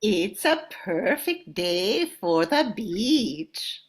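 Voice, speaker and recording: A woman's voice: mid-range voice, big pitch swings, very slow. A woman playing a gracious young Swedish dog owner in an amateur radio play, politely spoken. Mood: friendly, pleased.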